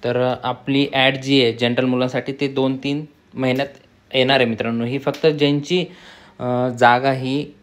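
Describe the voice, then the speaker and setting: A man's voice: mid-range voice, little pitch movement, normal speed. A young man explains things informally to viewers, repeating himself and addressing them as friends. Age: young adult. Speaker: student making an exam and job information video.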